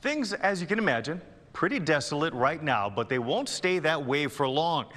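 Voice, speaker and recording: A young male news reporter with a fairly high voice, reading his stories in a crisp, measured broadcast cadence. He sounds like local television news, steady and clear from line to line. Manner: crisp, measured newscast delivery.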